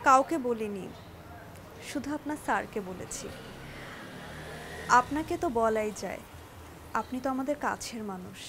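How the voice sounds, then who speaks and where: A woman's voice: on the high side, expressive, normal speed, long pauses, sharp tone. A young woman with a Bangladeshi accent acting a shy, trusting, simple wife in a TV drama, speaking in a hesitant, confiding way. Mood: shyly happy. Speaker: actress in a TV drama. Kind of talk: naturalistic Bangla TV drama dialogue. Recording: noisy drama location sound.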